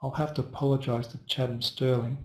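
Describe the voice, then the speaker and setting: A man's voice: mid-range voice, level tone, fairly quick. A man hosting a casual live trading webinar, chatting loosely and answering viewers by first name. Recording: roomy echo.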